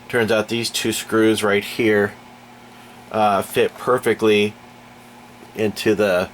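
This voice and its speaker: A man's voice: on the low side, slight background noise. A man with a cold and a stuffy nose, chatting casually and a bit aggravated as he reviews and fixes a generator in his garage. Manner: folksy, rambling, aggravated. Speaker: home DIY reviewer. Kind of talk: casual product review and repair. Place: garage indoors. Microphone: camera mic, off-screen.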